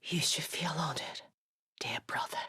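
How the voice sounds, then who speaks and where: An actress playing a bitter, coldly mocking, vengeful dying villainess in a video game cutscene, breathy and languid, savouring each phrase. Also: female voice, a little slow, bright, forceful tone, dry recording, whispered, clean studio sound. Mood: bitter satisfaction. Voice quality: breathy, husky.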